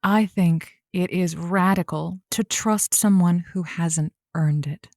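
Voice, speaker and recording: A woman playing a frank, folksy and wry advice host on a comedy call-in show, with measured, pointed phrasing. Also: fairly low pitch, darkish tone, no echo, clean studio sound. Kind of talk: improvised comedy radio advice talk.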